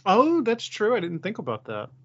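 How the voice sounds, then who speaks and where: A man with a somewhat high voice hosts a podcast in a casual, conversational style. The recording is a touch dull and lo-fi. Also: huge pitch swings, normal speed, husky. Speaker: podcast host and high school teacher.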